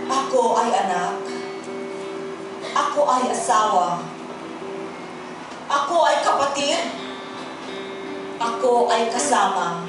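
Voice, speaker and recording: Slow, high-voiced Filipino woman reciting in echo. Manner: solemn, deliberate, theatrical delivery with pauses. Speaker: poetry reader at a human rights gathering. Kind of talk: dramatic, impassioned poetry recitation. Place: indoor auditorium event. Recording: very echoey auditorium, distant microphone. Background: plucked guitar accompaniment.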